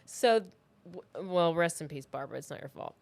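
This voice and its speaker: A woman's voice: on the low side, expressive, moderate pace, vocal fry, a little mellow, no echo. An American woman hosting a podcast, telling a true-crime story in a chatty, informal way peppered with "like". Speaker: podcast host.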